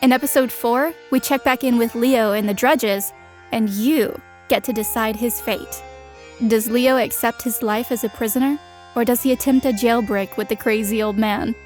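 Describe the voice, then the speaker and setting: Higher-voiced woman announcer over music. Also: fairly even intonation, normal speed, a little mellow. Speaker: show announcer. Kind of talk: scripted outro announcement.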